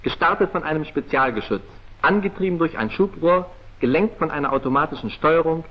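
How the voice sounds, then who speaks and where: A man's voice: on the high side, lively intonation, a little fast. Muffled 1940s film narrator, male. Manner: formal, precise, clipped declamatory delivery. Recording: muffled, dull vintage 1940s film soundtrack.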